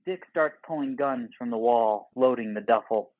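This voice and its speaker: A man's voice: medium pitch, moderate pace, dry recording, phone-quality sound. A man narrating an audio play, reading stage directions in terse screenplay phrases.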